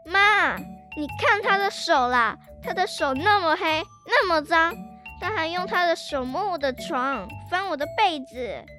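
A woman's voice: very high-pitched, lively intonation, measured pace, over music. A schoolgirl acting a whiny, indignant young sister in a children's radio drama. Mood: complaining. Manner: whiny, protesting.

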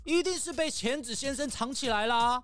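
A woman's voice: high-pitched, normal speed, close to an everyday voice. A woman voicing a sly, dramatic male fox who whines and accuses others in a children's audio drama. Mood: accusing. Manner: theatrical, whining, accusing. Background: background music.

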